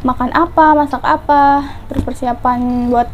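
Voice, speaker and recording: Chatty young woman, high voice. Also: steady tone, moderate pace, highs cut off. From Central Java, Indonesia. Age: 20s. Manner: chatty, often tags lines with "guys". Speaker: mukbang vlogger. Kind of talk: casual Q&A chat to viewers. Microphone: clip-on lapel mic.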